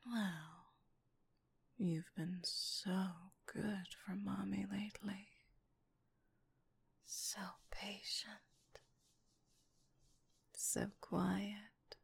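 A woman's voice: mid-range voice, steady tone, extremely slow, gravelly, long pauses, a little hiss or hum. A woman whispering breathily in an intimate ASMR-style monologue, with a teasing, commanding and lingering delivery.

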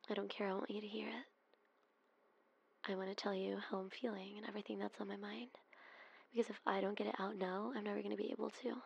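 A woman doing a whispered ASMR roleplay, speaking in soft, breathy whispers with frequent sighs. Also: medium pitch, lo-fi sound.

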